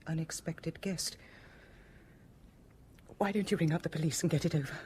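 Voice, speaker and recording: An actress with a British accent playing a bitter, fatalistic wife in a radio drama, guarded and weary. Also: female voice, low-pitched, steady tone, normal speed, dark tone, slight background noise, close to an everyday voice. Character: brittle, bitter, fatalistic wife of a cruel husband.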